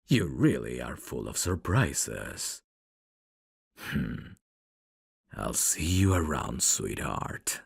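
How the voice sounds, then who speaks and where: Man playing a very deep, gravelly, flirtatious mafia boss. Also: very animated, very slow, pauses often, exaggerated voice. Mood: smug flirting. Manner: smug, drawling, intimate teasing. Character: teasing, flirtatious, menacing male mafia boss. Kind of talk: ASMR roleplay voice acting.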